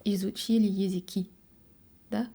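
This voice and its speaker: A young French woman with a French accent, talking to camera in a learner's halting Russian, pausing often to search for words and correct herself. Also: medium pitch, level tone, vocal fry, mellow. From France. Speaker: vlogger. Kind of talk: casual monologue to camera.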